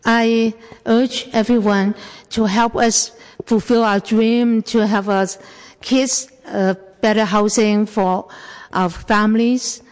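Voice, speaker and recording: Slow-speaking woman in an echoey hall. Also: on the high side, steady tone, a little mellow. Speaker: translator and housing advocate at a public hearing. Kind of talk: public comment speech. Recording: echoey public hall, long reverb.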